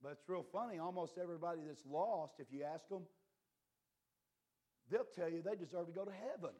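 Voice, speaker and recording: A man preaching a fiery sermon, impassioned and swinging between quiet and loud, his voice a little worn. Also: a little high, a little fast.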